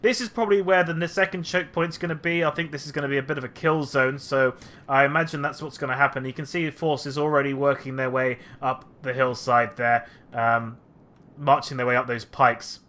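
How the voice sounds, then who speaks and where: A man's voice: mid-range voice, fairly even intonation, a little fast, bright, forceful tone. A man commentating a strategy game battle replay, slightly nasal from a cold, thinking aloud with frequent fillers.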